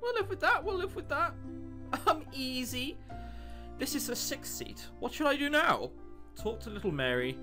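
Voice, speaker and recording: A man's voice: very high voice, big pitch swings, normal speed, pushed and bright. A man doing casual, sarcastic, mildly sweary commentary as he plays and reads out a visual novel, with game music behind him. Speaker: let's player. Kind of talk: casual joking gameplay commentary, reading visual novel text aloud.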